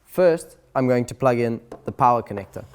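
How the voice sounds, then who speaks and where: A man's voice: medium pitch, lively intonation. Man giving step-by-step instruction. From South Africa. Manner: clear, step-by-step, deliberate phrasing. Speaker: presenter, DJ equipment instructor. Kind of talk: instructional video narration.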